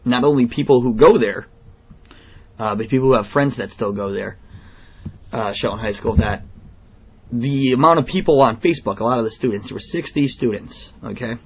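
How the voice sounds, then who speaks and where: A man hosting a radio show, giving opinions in a halting, blunt, indignant monologue. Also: mid-range voice, highs cut off, a little hiss or hum.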